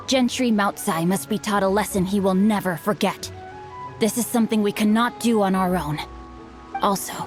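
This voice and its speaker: A woman plays a youthful male scholar-swordsman in a video game, at a medium pitch for a woman. The character is composed and formal, with courteous, lofty phrasing. Light music sits behind the voice. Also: lightly acted. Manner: flowery, formal, courteous, evasive. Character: bookish, chivalrous young gentleman swordsman, male, youthful, composed.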